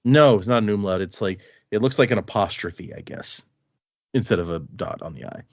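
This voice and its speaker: A man in the host's chair reads a listener email aloud, his voice at a middle pitch and swinging up and down. He keeps breaking off to add quick asides of his own before picking the letter back up. The sound is close and dry, with a slightly dull, lo-fi edge. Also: dry recording. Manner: reads with lively asides and comments between lines. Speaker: podcast host.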